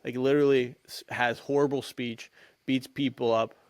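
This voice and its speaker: A man's voice: mid-range voice, level tone, moderate pace, dry recording. A man co-hosting a podcast, chatting casually and enthusiastically in slang about adventure stories. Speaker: podcast co-host. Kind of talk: casual chat about adventure books and films.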